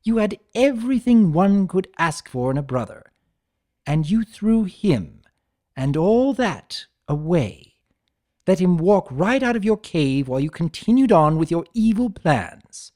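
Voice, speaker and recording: A man voicing a theatrical chaos spirit for a dramatic story reading, taunting yet sympathetic, with emphatic, drawn-out phrases. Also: sits high, very animated, warm tone, voice pushed up. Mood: taunting, scolding.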